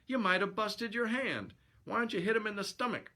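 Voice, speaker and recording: A man voicing a gruff, excitable sailor sidekick in a storybook, with slangy, urgent talk. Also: high voice, fairly quick, pitched above the performer's own voice. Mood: scolding. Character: excitable, gruff sailor sidekick, loyal to his boxer pal.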